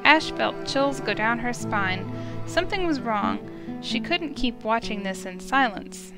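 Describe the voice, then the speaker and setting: Creaky-voiced woman narrating a fantasy story. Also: on the high side, lively intonation, unhurried. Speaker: streamer reading a visual novel aloud. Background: music.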